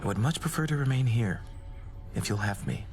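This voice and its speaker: An actor playing a courtly, melancholy male god of doom in a video game, speaking formally and with gentle devotion. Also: medium pitch, fairly quick, soft background music, close to an everyday voice. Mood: solemn, resigned. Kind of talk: scripted video game romance dialogue.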